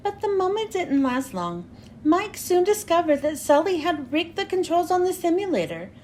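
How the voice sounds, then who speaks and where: Female kids' read-aloud host: expressive, very lively intonation, high voice, slightly noisy recording. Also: normal speed. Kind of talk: children's storybook read-aloud.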